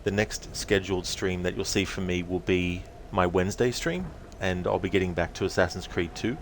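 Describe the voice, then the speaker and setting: A man's voice: sits low, normal speed, a little hiss or hum. A man chatting casually to viewers as a game streamer on a livestream.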